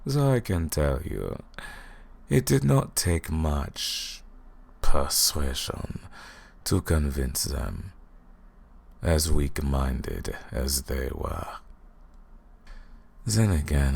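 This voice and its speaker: A man voicing an arrogant, cruel vampire lord in an ASMR roleplay, with a put-on accent and a slow, mocking, menacing delivery in a gravelly, breathy voice. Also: animated, darkish tone, heavily acted. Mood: gloating, amused. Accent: put-on foreign accent. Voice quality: gravelly, husky, breathy deep voice.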